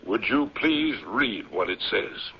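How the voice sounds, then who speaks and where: An actor in an old-time radio drama playing a stern, commanding old captain who speaks gravely and deliberately. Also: male voice, mid-range voice, expressive, heavily acted. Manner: grave, deliberate, ominous, scripture-quoting. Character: stern, commanding old patriarch, captain of a secluded mountain community. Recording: dull vintage radio broadcast.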